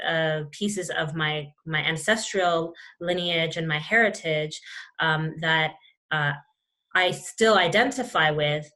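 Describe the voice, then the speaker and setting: Young woman, low voice, thinks out loud, lots of ums. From Canada. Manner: thoughtful, many "um"s and restarts. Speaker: interview guest. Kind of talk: reflective conversation.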